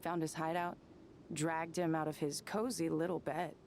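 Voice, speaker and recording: A woman's voice: sits low, level tone, normal speed, darkish tone, slight background noise. A woman playing a confident, wry young desert adventurer in a video game, with a breezy, casual manner. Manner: breezy, casual, self-assured. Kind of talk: video game character acting.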